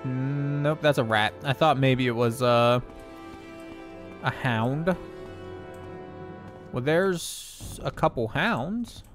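A man's voice: mid-range voice, very slow, pauses often, music in the background. A man streaming a game, chatting casually with viewers and laughing often, his nose a little stuffy. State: slightly congested, stuffy nose. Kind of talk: casual gameplay commentary and chat.

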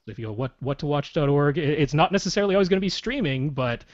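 A man's voice: a little high, animated, racing. A young man co-hosting a casual podcast from a small home room, explaining things eagerly and laughing easily, into a studio mic. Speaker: podcast co-host. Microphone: large studio mic on boom arm. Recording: slightly dull video-call audio.

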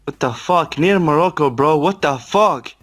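A man's voice: fairly high pitch, unhurried, a bit bright, faint music behind. A young man on a video call, defensive and swearing as he argues. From Algeria. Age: young adult. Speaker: video chat stranger.

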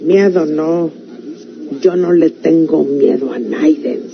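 A woman's voice: low voice, slow, full character voice. Through a noisy, dull old radio recording comes a resolute country woman with a rural Mexican accent. She talks in a plain, earnest, rustic way, as in a classic radio serial. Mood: resolute, hushed. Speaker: actress in a radio drama. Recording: muffled old radio recording, clear noise.

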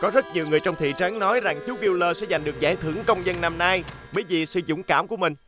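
A man plays a young delivery helper in a children's cartoon, polite and eager. His voice is high for a man, with clear background music. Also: fast talker, natural voice. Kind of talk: animated children's dub acting.

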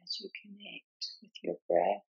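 A woman leading a guided meditation, giving gentle, soothing instructions in short, unhurried phrases with long pauses. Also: mid-range voice, little pitch movement, creaky, slightly rough, dull audio.

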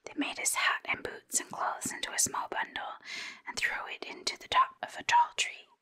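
Woman, whispered ASMR storybook narration, very high, bright and thin, gravelly breath. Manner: soft, steady whispering narration.

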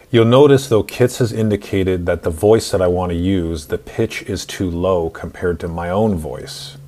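A man in his forties narrating a screen-recorded software tutorial in an instructional, step-by-step way. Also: low voice. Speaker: tutorial host.

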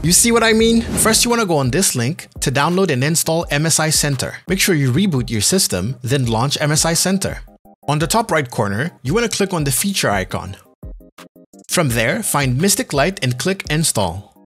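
A man's voice: fairly high pitch, sing-song, normal speed, fairly bright tone, soft background music. A man presenting a tech product in an upbeat, scripted promotional style.

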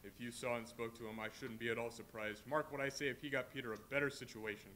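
A man plays a kind father in mourning, soft-hearted and tender. His speech runs quick and bright, with a faint rumble from the live hall. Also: level tone, lightly acted. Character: grieving gentle father, Bob Cratchit. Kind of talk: live stage play reading.

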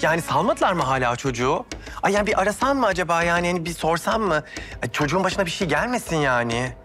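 A fussy male best friend in a TV drama. He is a gossip who talks in exclamations, with clear music playing behind him. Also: on the high side, big pitch swings, fast, sharp tone. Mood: anxious nagging. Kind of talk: TV drama acting, chatty dialogue.

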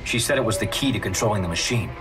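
An actor playing a practical, weary engineer in a sci-fi horror game, speaking matter-of-factly. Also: male voice, on the low side, racing, recorded from a distance, music in the background, natural voice, low-quality recording. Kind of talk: video game cutscene dialogue.

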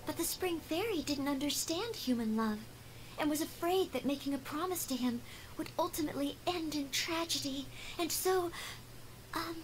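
A woman voicing an earnest, polite young maid in a video game, gentle and eager. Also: high-pitched, moderate pace, soft background music, close to an everyday voice, poor audio. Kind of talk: video game character dialogue.

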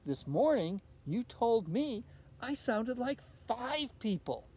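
A man's voice: very high voice, big pitch swings, slow pace, a little mellow, slightly rough, voice pushed up, full character voice. A man voicing a patient but firm mother of young children in a picture-book read-aloud. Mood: patient, questioning. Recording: outdoor recording with background noise.

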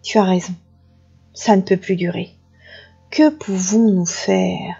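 A woman's voice: medium pitch, fairly even intonation, slow, vocal fry, warm tone, breathy edge, muffled recording, soft background music, heavily acted. A woman voicing a kindly, sly little old grandmother with a quavering voice in a children's story. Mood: weary.

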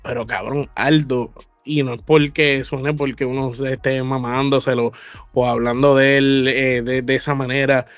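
A man's voice: medium pitch, flat delivery, normal speed, no room sound, soft background music. A man with a Puerto Rican accent giving emphatic opinions in a casual podcast chat, piling up repeated words. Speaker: podcast co-host. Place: indoor room, remote video call.

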